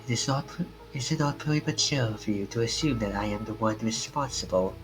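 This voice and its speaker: A man voicing a formal, politely stern game character in a stiff, measured manner. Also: on the low side, close to an everyday voice, rough recording. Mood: measured, grave. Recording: music under the voice.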